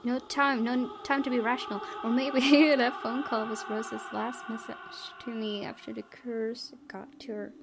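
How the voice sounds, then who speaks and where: A woman's voice: on the high side, level tone, measured pace, vocal fry, few pauses. A woman doing a let's play, reading visual novel text aloud expressively with spontaneous, giggly asides. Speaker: gaming video host. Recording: noticeable background noise and ambience.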